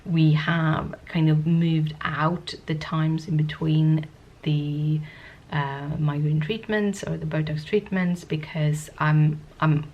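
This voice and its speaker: A woman vlogger with a sniffly cold, chatting to the camera in a soft-spoken, rambling, hesitant way. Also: low voice, little pitch movement, slow pace, highs cut off. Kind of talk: casual talking-to-camera vlog.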